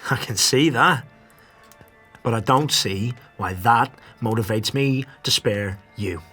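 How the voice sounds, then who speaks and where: An actor playing a gruff, sardonic scavenger gang boss who taunts and threatens in a fantasy audio drama. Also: male voice, medium pitch, takes it slowly, pushed and bright, soft background music, full character voice. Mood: exasperated. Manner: foul-mouthed, taunting, threatening.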